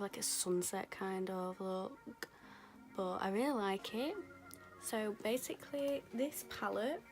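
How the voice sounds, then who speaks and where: Chatty young woman, voice going hoarse. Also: medium pitch. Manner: chatty, rambling, lots of fillers. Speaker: beauty vlogger. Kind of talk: casual makeup tutorial chat. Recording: music playing behind.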